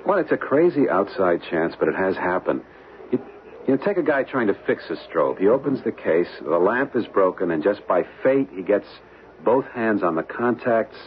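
An actor playing an American husband and tourist photographer in an old-time radio drama, talking haltingly and reassuringly as he brushes off worries. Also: lowish voice, very animated. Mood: casual, reassuring. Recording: muffled, noisy old radio broadcast.

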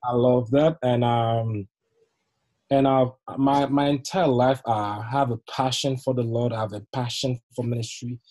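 A man's voice: medium pitch, fairly even intonation, unhurried, dark tone. A middle-aged man from Haiti with a Haitian accent, a youth pastor guest on a podcast, telling his story earnestly with frequent laughs and repeated words. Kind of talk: podcast interview, personal story.

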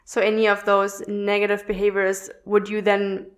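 A woman's voice: mid-range voice, monotone, normal speed. A woman hosting a podcast, asking curious questions in a friendly, casual way. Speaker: podcast host.

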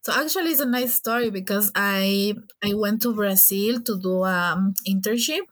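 A woman's voice: medium pitch, moderate pace. A young woman from Ecuador with an Ecuadorian Spanish accent, a native Spanish speaker, chatting casually and gigglily as a podcast guest. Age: young adult. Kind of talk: casual chat.

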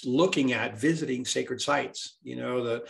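Man from Hawaii, gravelly voice, middle-aged, healer being interviewed, earnest searching manner. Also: mid-range voice, unhurried. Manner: earnest, searching, repeats words while thinking. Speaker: guest, native Hawaiian healer. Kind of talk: reflective conversational interview.

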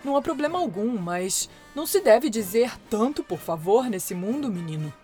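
Lady doing the kind dad in a kids story, calm and reassuring, a bit high. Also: normal speed, soft background music. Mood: puzzled. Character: calm, kindly father of two boys.

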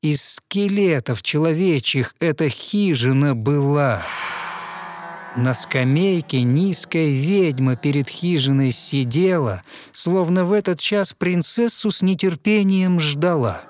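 A man narrating a fairy tale in verse, dramatic and measured, pausing expressively between short phrases. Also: on the high side, animated, darkish tone, no room sound. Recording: studio narration over music. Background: music.

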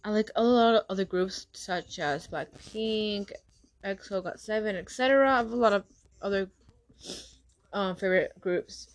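Young woman rambling on her podcast, quick and stuttery, lots of um and like. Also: medium pitch, poor audio. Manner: stutters and restarts a lot, talks fast. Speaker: podcast host. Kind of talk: casual solo podcast chat.

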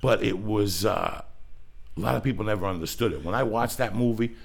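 Fast, gravelly, deep-voiced blunt man. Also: big pitch swings. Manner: blunt, crude and profane, rapid-fire storytelling. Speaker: podcast host. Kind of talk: casual chat.

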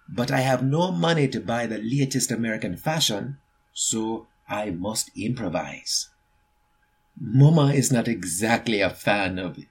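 A man's voice: medium pitch, husky. A man voicing an eager young immigrant boy who earnestly tells his own story in a picture book. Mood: eager, proud. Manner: earnest, deliberate, storytelling emphasis. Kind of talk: expressive picture-book reading.

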